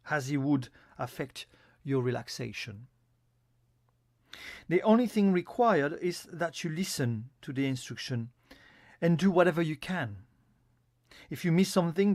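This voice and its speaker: A man giving a guided yoga nidra meditation as an instructor, in a calm, soothing, instructional manner. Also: fairly high pitch, dry recording, clean studio sound.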